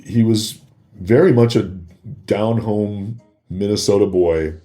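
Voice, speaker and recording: A man's voice: on the low side, takes it slowly, mellow, faint music behind. A man telling anecdotes casually as a podcast guest, acting out remembered exchanges as he goes. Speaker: guest, former celebrity security worker.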